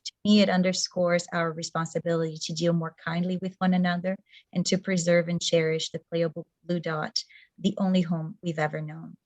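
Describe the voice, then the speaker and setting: A woman's voice: on the low side, little pitch movement, brisk, darkish tone, no room sound. A middle-aged woman whose first language is Portuguese gives a thoughtful talk over a video call, with frequent fillers and restarts. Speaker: presenter.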